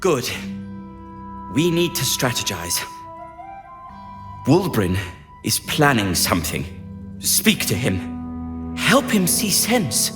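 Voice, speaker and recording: An earnest gnome ally, full of worry. The delivery is slow and pleading, with animated pitch over music. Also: exaggerated voice. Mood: worried, urgent. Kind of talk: video game acting.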